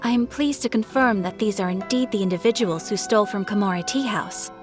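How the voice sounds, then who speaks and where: A woman's voice: medium pitch, fairly quick, music in the background, close to an everyday voice. A woman voicing a polite, composed guild official in a video game, speaking formally and precisely. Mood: pleased, formal.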